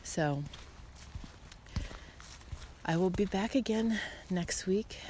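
A woman hosting a casual solo podcast, speaking earnestly and encouragingly in short phrases with frequent pauses. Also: mid-range voice, warm tone. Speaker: podcast host. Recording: clearly noisy background, some clicks.

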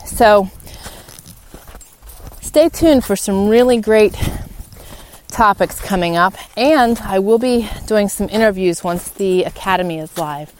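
A woman's voice: medium pitch, takes it slowly, darkish tone. A woman hosting a solo podcast, talking informally and earnestly as she encourages her listeners. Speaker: podcast host. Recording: clearly noisy background with clicks.

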